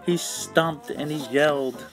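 A man's voice: on the high side, steady tone, slow. A man reading a children's storybook aloud in a rhythmic, sing-song way. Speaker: children's book read-aloud narrator. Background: instrumental music.

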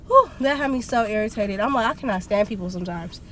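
A woman's voice: medium pitch, lively intonation, fast talker, fairly bright tone, a little hiss or hum. A young woman vlogger, sick and congested, telling a story animatedly and venting in a parked car, filmed on a phone. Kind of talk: casual vlog rant. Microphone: phone camera on dashboard.

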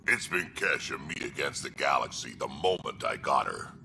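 Older alien grandfather, extremely low, gravelly, tense; faint station hum behind. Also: animated, normal speed, bright, forceful tone, full character voice. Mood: gruff fondness. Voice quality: deep gravelly growl. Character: gruff older male krogan grandfather.

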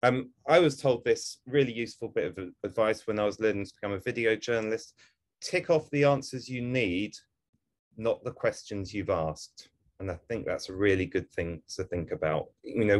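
A man's voice: on the low side, a little mellow. A man hosting a masterclass on a video call gives video tips in an upbeat, encouraging way. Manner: upbeat, encouraging, hands over to guests. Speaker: masterclass host.

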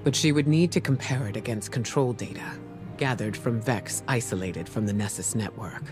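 An actress playing a composed middle-aged commander in a video game, measured and serious. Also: female voice, rumbling low. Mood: grave, guarded. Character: grave, composed female commander confiding a risky plan. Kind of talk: video game story dialogue. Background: music.

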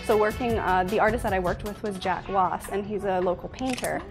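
A woman's voice: mid-range voice, fairly quick. Earnest young woman designer explaining. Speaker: fashion designer guest. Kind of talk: describing her work in an interview. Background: background music.

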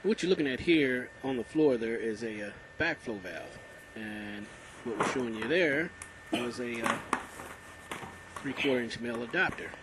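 A man giving a casual how-to lesson on plumbing while he works on a concrete floor, speaking plainly with many pauses. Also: medium pitch, animated. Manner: plain, unhurried, many "uh" pauses between terms. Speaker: plumbing instructor. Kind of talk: casual how-to narration over hands-on work. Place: indoors on a concrete floor, working close to the camera. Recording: loud noise behind.

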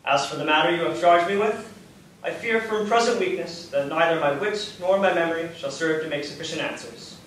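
A man playing a principled, unbending English statesman in a period stage play, with measured, declamatory delivery. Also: on the high side, fairly bright tone, lightly acted. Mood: solemn. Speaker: stage actor. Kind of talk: theatrical period stage acting. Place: large theatre stage, indoors. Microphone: distant camera in the audience. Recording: echoey theatre from far off, background noise.

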